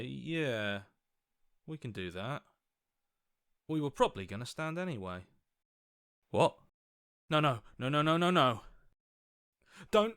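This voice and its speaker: A man's voice: mid-range voice, a bit bright, smooth, no echo, clean studio sound. A man voicing an earnest, anxious hero as a reluctant inner voice in a video game, conversational, hesitant and wry. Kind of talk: dramatic video game voice acting.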